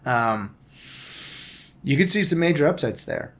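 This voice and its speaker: A man's voice: a little high, animated, unhurried, a bit bright. A man hosting a casual soccer podcast, rambling with frequent restarts and self-corrections. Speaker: podcast host. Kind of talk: casual sports podcast chat.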